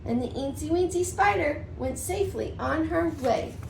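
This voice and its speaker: Female preschool teacher, read-aloud storyteller: high, slightly creaky, sing-song, recorded at a distance in an echoey space. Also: moderate pace. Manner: sing-song, expressive, rhythmic reading. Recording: distant mic, roomy echo, faint noise.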